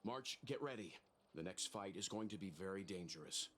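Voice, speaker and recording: A serious game warrior, lowish steady voice, commanding, noise behind him. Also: male voice, moderate pace. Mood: grave, urgent. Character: serious, steady adult male companion warrior. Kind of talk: scripted video game voice acting.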